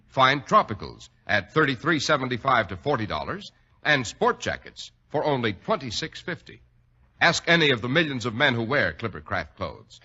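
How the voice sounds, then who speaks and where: A male announcer delivers copy in 1940s radio fashion. His voice is punchy and animated with some rasp, on a muffled old recording. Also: mid-range voice.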